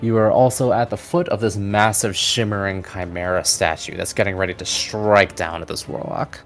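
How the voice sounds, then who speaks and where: Deep-voiced man running a tabletop game. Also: moderate pace, soft background music. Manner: descriptive, steady narration of combat. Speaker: game master.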